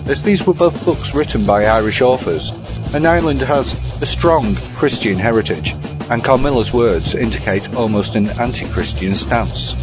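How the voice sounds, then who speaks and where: Man presenting over prominent music. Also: lowish voice. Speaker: podcast segment presenter. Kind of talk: scripted film and book commentary. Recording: slightly dull sound over music.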